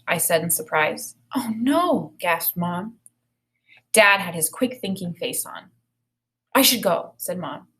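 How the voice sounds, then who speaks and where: A woman in her thirties with an American accent, teaching through an expressive read-aloud with spoken commentary on the story. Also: fairly low pitch. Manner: expressive reading with acted dialogue.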